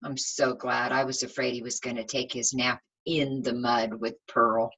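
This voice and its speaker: A woman reading a storybook aloud to young children as their teacher, expressive and simply phrased, pausing to ask them questions. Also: rumbling low, breathy edge, low-quality recording.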